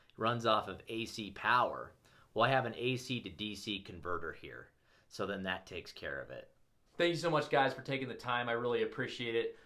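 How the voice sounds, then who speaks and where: A man's voice: mid-range voice, sharp tone. A man presenting a restoration project as host of a show-and-tell video, speaking in an upbeat, emphatic and enthusiastic way.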